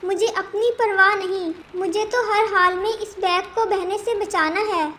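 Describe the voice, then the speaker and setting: A woman's voice: extremely high pitch, fairly even intonation, unhurried, a bit bright, a little hiss or hum. A woman voicing a poor, anxious, devoted mother bird in a Hindi cartoon, with a plaintive, earnest delivery. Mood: desperate.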